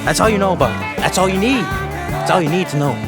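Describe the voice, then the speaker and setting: A man's voice: sits high, animated, brisk. A man presenting an informal explainer, speaking emphatically in repeated short phrases. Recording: loud music over the voice.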